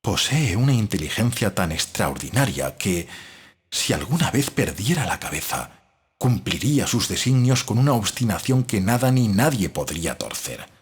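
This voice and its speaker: A man playing a sceptical, brooding doctor, reading his diary in a formal, reflective way with a husky, rough voice. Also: fairly low pitch, sing-song, normal speed, exaggerated voice. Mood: doubting, brooding. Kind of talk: dramatised audiobook diary narration.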